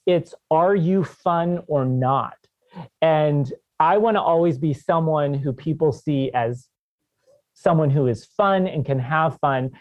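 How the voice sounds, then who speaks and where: A man's voice: a little high, no room sound, highs cut off. A man in his fifties, a guest author on a podcast interview, talking with enthusiasm and laughing often. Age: 50s.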